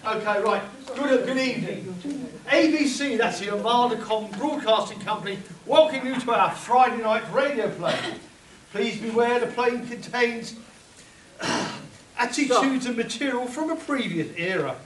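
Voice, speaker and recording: A man's voice: very high-pitched, animated, unhurried, a little hiss or hum. A man introducing a live comic radio play as its announcer, bantering with hecklers and the audience. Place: live convention hall with audience. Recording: echoey hall from far off, loud crowd. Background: loud audience chatter and laughter.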